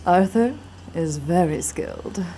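A woman's voice: fairly low pitch, animated, unhurried, warm tone, a little hiss or hum. A woman playing a wealthy, poised young wife in a noir audio drama, speaking in a composed, cool way. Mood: poised, discreet. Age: 20s.

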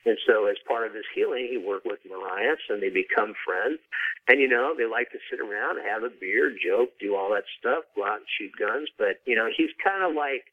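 Low-voiced man on a dull line. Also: animated, moderate pace, husky, no echo. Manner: informal, frequent "you know" asides. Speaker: interviewed author. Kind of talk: casual explanatory interview talk.

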